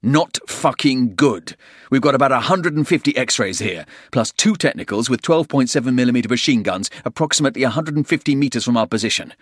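Man acting a gruff British soldier, blunt, sardonic, terse, pitched up from narration, close dry sound, audiobook. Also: lively intonation, brisk, dry recording. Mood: tense, urgent. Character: gruff, sweary male British soldier under fire.

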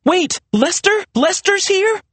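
A man's voice: very high-pitched, lively intonation, slow, a bit bright, no room sound, pitched above the performer's own voice, exaggerated voice. A man voicing a bewildered, demanding young woman who questions and insists, in an audiobook story.

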